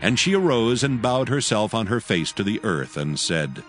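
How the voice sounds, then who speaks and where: A man reads scripture as a narrator, in a solemn and measured way. His voice is fairly low and moves expressively up and down. Faint music plays underneath. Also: very animated. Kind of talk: scripted dramatized Bible narration.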